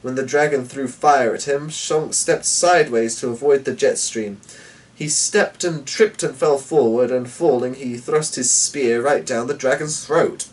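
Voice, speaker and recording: A man reads a story aloud in a theatrical, measured manner. His pace is slow and his mid-pitched voice sits a little away from the microphone. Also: recorded from a distance, low-quality recording. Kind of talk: dramatic reading of a short story.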